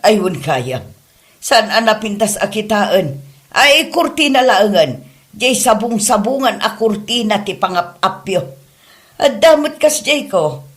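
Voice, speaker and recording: A woman's voice: medium pitch, expressive, takes it slowly. A woman acting as a doting but meddling, thrifty mother of a grown son in a comedy drama. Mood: insistent.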